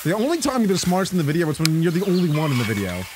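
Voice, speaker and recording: A young man co-hosting a reaction chat, goofy and quick to change his mind, at a studio mic. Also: a little high, wildly swooping pitch, crowd noise. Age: young adult. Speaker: co-host reacting to videos.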